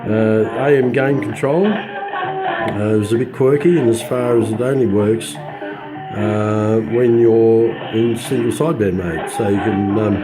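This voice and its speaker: An Australian man giving an informal hands-on product walkthrough in a halting, understated way, his voice slightly scratchy as he gets over influenza. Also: lowish voice, sing-song, over music. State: recovering from influenza. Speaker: radio hobbyist reviewer.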